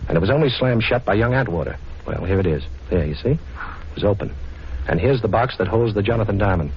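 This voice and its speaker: A man's voice: on the low side, animated, brisk. A man playing a cocky, wisecracking adventurer accused of theft in a 1940s radio crime drama, glib and sardonic. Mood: urgent, focused. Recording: dull, noisy 1940s radio recording.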